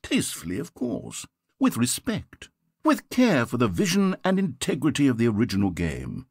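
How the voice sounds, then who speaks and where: An actor voicing a video game's narrator: a man with a polite, theatrical manner, breathy and fretful. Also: mid-range voice, huge pitch swings, normal speed, darkish tone, no room sound, heavily acted. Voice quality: breathy, dry close-miked studio voice. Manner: polite, fretful, swinging between grandeur and self-doubt. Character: fussy, theatrical male narrator of a video game. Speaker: game narrator. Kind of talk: scripted game voice acting. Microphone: studio microphone.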